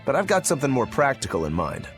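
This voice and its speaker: A laid-back swordsman war demon who enjoys his drink. His voice sits in an ordinary middle register, and he teases as he talks. Soft game music runs beneath him. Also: medium pitch, huge pitch swings, quick pace. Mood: casual. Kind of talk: video game cutscene acting.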